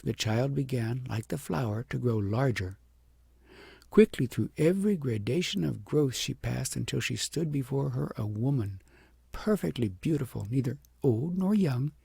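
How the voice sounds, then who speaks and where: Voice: mid-range voice, lively intonation, normal speed, gravelly, dark tone, slightly rough, no room sound. An audiobook narrator reading a fairy tale as scripted storybook narration.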